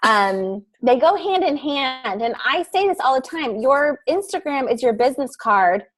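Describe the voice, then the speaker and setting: Upbeat woman chatting on a video call, laughs a lot, kinda high voice. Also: very animated. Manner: bubbly, laughs often, fast run-on sentences. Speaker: podcaster guest. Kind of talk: casual panel chat.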